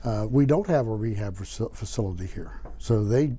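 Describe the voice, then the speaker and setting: An older man, a county sheriff, giving unscripted interview answers in a halting way, repeating and rephrasing. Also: on the low side, lively intonation, mellow, slight background noise.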